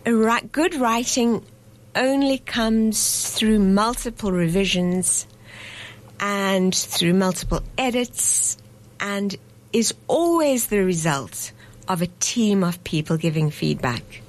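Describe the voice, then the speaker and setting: A woman's voice: mid-range voice, slow pace, low-quality recording. A woman in her fifties, a festival director from South Africa, talking in a radio studio interview, thoughtful and correcting herself as she goes. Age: 50s. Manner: thoughtful, self-correcting, reflective.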